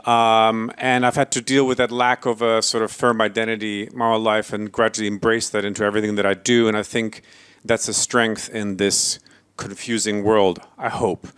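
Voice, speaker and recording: Smooth-voiced man giving a keynote. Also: lowish voice, level tone, normal speed, a bit bright. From Belgium. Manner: hesitant, many fillers and restarts, self-deprecating jokes. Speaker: keynote speaker. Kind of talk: conference keynote talk. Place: conference stage before an audience.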